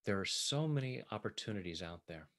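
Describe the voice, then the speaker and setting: A man working as a tapping coach, leading guided affirmations in short, measured phrases meant to be repeated. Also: fairly low pitch, lively intonation, fairly bright tone.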